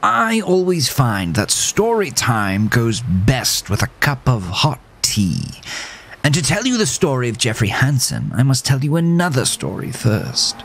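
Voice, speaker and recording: A man's voice: mid-range voice, wildly swooping pitch, measured pace, a bit bright, breathy edge, pitched above the performer's own voice, exaggerated voice. A man voicing a dapper, sinister talking horse in a horror audio story, theatrical, formal and effusively genteel. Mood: darkly gossiping.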